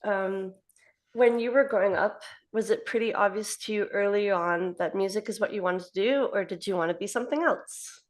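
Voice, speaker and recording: A woman in her forties hosts an interview over a laptop webcam from her home office. Her voice is mid-pitched and friendly, with frequent light laughter. She fires off quick questions. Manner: friendly, laughs often, asks rapid-fire questions. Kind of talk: video call interview. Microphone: laptop webcam on video call.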